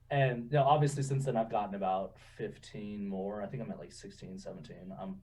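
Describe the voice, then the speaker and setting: A man's voice: on the low side, normal speed, recorded from a distance. Laid-back young man, a bit off-mic. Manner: relaxed, chatty, laughs often, says "you know" a lot. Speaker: guest. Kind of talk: casual interview storytelling.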